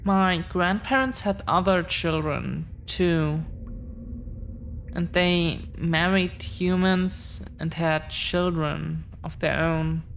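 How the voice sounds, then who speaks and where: A woman playing an affectionate, protective dragon-blooded girlfriend in an intimate audio roleplay, speaking earnestly with halting, deliberate pauses. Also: on the low side, fairly even intonation, gravelly, slight background noise. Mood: earnest, solemn.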